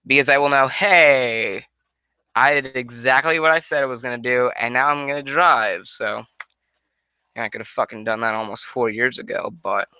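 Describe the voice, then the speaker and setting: A man's voice: mid-range voice, pushed and bright, clear, resonant tone, no room sound. Young man rambling into a headset. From Connecticut, USA. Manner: rambling, many restarts and self-corrections. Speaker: vlogger answering viewer questions. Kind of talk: casual Q&A monologue. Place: small room at home, indoors. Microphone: headset microphone.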